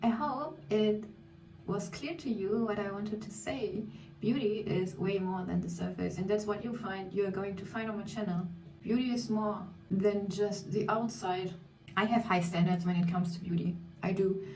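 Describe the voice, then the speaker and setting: A woman's voice: mid-range voice, normal speed, darkish tone. Non-native woman chatting while doing makeup. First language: not English. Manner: reflective, candid, searching for words in English. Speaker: beauty vlogger. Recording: echoey room, mic at a distance, faint music.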